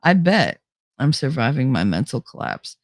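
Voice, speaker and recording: Chatty low-voiced woman, slightly nasal. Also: very animated, moderate pace, dry recording, clean studio sound. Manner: rambling, chatty, laughs often. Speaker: livestream host. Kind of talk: casual chat reading live comments. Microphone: close desk microphone.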